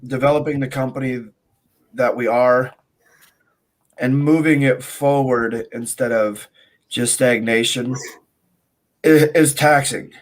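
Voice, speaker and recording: A man's voice: mid-range voice, fairly even intonation, slow pace, long pauses, rough recording. A man co-hosting a casual livestream chat with teasing, light-hearted banter. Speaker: co-host.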